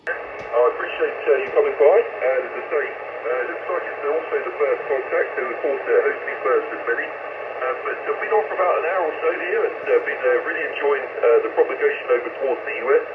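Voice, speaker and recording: Male ham operator, measured and polite, thin radio sound, loud static. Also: on the high side. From Isle of Wight, UK. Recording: tinny radio transmission with clear static.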